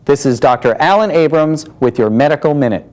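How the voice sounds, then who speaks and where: A man with a somewhat high voice speaks as a doctor. He delivers prepared advice to listeners as a short scripted broadcast segment. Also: a little fast, a little mellow. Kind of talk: scripted health advice narration.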